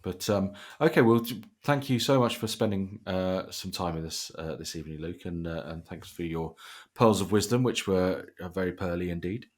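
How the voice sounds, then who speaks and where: Podcast host, male, low voice, halting and wordy delivery in relaxed conversation. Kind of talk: casual podcast chat.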